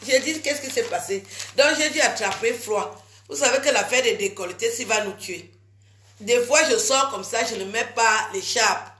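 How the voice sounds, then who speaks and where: A woman's voice: a little high, bright, forceful tone, echoey room, slight background noise. A woman vlogging casually to her fans, chatty and teasing, scolding her viewers with a cold. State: has a cold.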